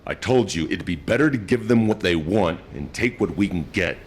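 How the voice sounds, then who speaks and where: A man's voice: deep voice, lively intonation, normal speed, slight background noise. An actor playing a blunt, practical male squad leader. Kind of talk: game cutscene voice acting.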